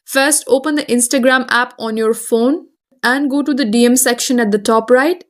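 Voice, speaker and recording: A woman with a fairly high voice narrates a how-to guide. She delivers scripted, instructional lines step by step, as the host of a tutorial. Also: moderate pace.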